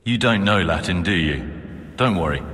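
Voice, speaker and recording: A man's voice: sits low, animated, moderate pace, fairly bright tone. An actor playing a bookish, prim novice monk in a game, articulate and slightly superior in his matter-of-fact talk. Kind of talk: video game dialogue. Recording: reverberant hall with music behind.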